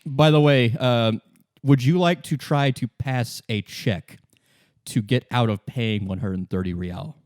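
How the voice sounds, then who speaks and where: A man's voice: mid-range voice, unhurried, a little mellow, no echo, clean studio sound. A man running a tabletop game, improvising narration and explaining things informally. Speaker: game master.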